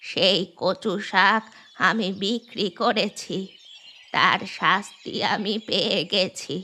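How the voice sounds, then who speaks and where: Woman playing a slow-talking old village grandmother. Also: mid-range voice, slight background noise. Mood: remorseful. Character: repentant old village grandmother, gruff but grateful and generous. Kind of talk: Bengali moral-story cartoon dubbing. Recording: loud music under the voice.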